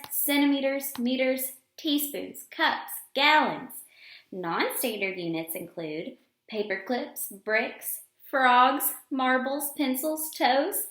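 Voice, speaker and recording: Expressive, slow-reading woman in an echoey room. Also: sits high. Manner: deliberate, emphatic phrasing. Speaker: read-aloud storyteller reading a children's picture book. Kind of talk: expressive read-aloud for children. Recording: roomy, echoing sound.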